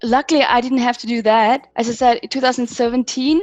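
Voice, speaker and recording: Woman, thirties, musician interviewee, slightly high voice, casual and thoughtful, muffled lo-fi sound. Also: steady tone, fast talker, darkish tone, dry recording, faint music behind. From Switzerland. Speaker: singer, interview guest.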